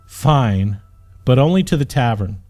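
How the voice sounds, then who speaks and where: A man voicing a gruff, weary retired knight in an audiobook story, curt, impatient and grudging in manner. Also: medium pitch, animated, a little slow, darkish tone, soft background music, lightly acted.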